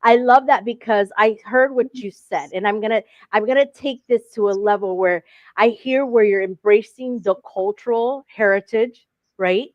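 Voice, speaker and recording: A woman's voice: fairly high pitch, normal speed, no room sound. A woman interview host, born in Philadelphia and raised in Chicago, speaking over a video call. She is effusive and encouraging and keeps repeating her praise. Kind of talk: casual interview conversation. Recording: dull, dry lo-fi call audio.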